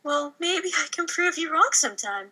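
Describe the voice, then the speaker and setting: Bold young female thrill-seeker read by a woman for a mafia spoof: high, very bright, flippant, swinging intonation. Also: expressive, moderate pace. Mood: flippant, eager. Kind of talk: casual comedy table read.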